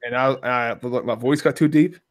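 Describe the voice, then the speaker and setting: A hoarse man hosting a casual podcast, rambling in loose slang and laughing between lines. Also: medium pitch, fairly even intonation, fairly quick.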